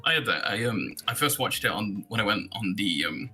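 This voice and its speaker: A man's voice: lowish voice, lively intonation, normal speed, bright, forceful tone, soft background music. A man in his twenties, a guest chatting casually on a livestream, laid-back and dry, swearing now and then. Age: 20s.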